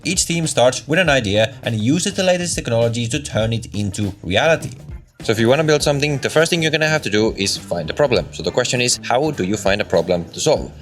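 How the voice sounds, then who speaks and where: A man hosting a video, delivering scripted narration in an earnest, motivational manner. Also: mid-range voice. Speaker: video host. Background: background music.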